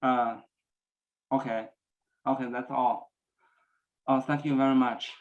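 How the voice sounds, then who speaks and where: Slow stuttering man, flat delivery. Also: medium pitch. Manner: stuttering with many repetitions and pauses. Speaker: presenter at a speech celebration. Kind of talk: personal talk about stuttering. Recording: dull lo-fi sound.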